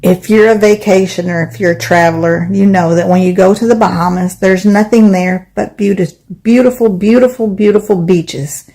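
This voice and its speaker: A woman's voice: mid-range voice, fairly even intonation, normal speed, hardly any pauses, mellow. A woman giving blunt, teasing opinions in a casual social media video. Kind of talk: casual commentary.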